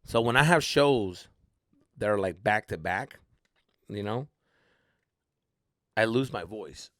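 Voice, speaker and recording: A man's voice: lowish voice, takes it slowly, dry recording, studio quality. A Mexican-American man telling stories casually as a podcast host and comedian, slangy and sweary, slipping into Spanish and acting people out. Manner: animated, acting out people's reactions. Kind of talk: casual solo podcast storytelling.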